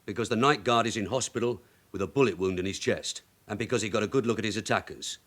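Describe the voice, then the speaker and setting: An actor playing a shrewd, weary veteran detective sergeant in a radio drama, with a London accent and a blunt, sardonic, authoritative way of questioning. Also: male voice, on the low side, quick pace, fairly bright tone. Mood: stern. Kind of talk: naturalistic radio drama acting.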